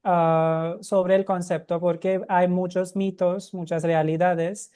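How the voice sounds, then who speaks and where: Guy co-hosting a podcast, chatty, ends things with a quick "no?" a lot. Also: high-pitched, little pitch movement, mellow, smooth. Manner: conversational, tags questions with a quick "no?". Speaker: podcast co-host. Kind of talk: casual interview.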